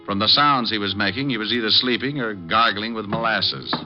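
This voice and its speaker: An actor playing a breezy, cocky private detective who teases and narrates wryly in an old-time radio drama. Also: male voice, fairly low pitch, brisk, music in the background, close to an everyday voice. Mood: wry narration. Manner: teasing, flippant, wry. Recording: muffled vintage radio recording.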